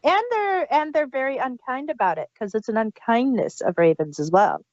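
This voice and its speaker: A woman's voice: a little high, lively intonation, normal speed, darkish tone, no room sound. A woman co-hosting a casual review chat, on a dull lo-fi recording. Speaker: podcast co-host reviewing audio dramas.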